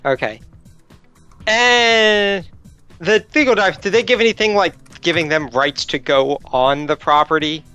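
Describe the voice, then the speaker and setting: A man's voice: a little high, animated, measured pace, bright, forceful tone, smooth, highs cut off, soft background music. A man playing a tabletop game, talking casually and picking through details with questions.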